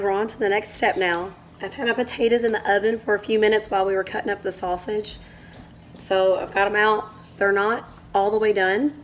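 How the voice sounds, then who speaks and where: A woman with a hoarse voice from a cold, casually walking through a home cooking recipe step by step in her kitchen as the channel's host. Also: medium pitch, slight background noise. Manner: chatty, explains step by step.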